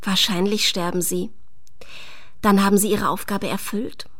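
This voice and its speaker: An actress playing a pensive, unsentimental, doubting woman in a radio drama, speaking in a reflective, measured way. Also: medium pitch, flat delivery, fairly bright tone, dry recording, clean studio sound. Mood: sober, probing.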